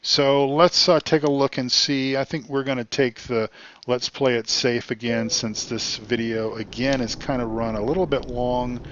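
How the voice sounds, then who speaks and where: A man hosting his gaming channel, chatting casually while he plays, rambling with many ums and uhs, his voice getting hoarse. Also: medium pitch, muffled recording, noisy background. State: losing his voice. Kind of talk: casual commentary while playing. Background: truck engine and road sounds.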